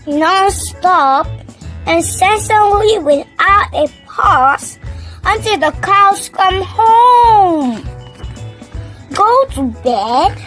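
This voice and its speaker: An expressive storyteller reading a children's story aloud in a slow, deliberate way, with children's music behind. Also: very high-pitched.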